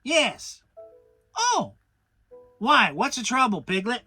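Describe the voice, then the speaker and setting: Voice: mid-range voice, wildly swooping pitch, slow, sharp tone, breathy edge, no room sound, soft background music, voice pushed up, full character voice. A narrator voicing a bossy, self-important male rabbit, pompous and emphatic, in a children's storybook. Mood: brisk.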